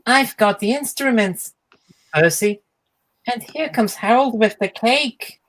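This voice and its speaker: A man's voice: very high voice, takes it slowly, a bit bright, voice pushed up, heavily acted. A man voicing an eager male steam engine character in a children's storybook. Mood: eager, earnest.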